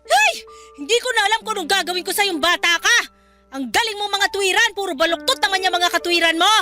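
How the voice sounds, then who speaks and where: A woman's voice: very high-pitched, lively intonation, quick pace, soft background music, heavily acted. An actress playing an exasperated, strict single mother scolding her college-age son in a radio drama. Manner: scolding, emotional, forceful.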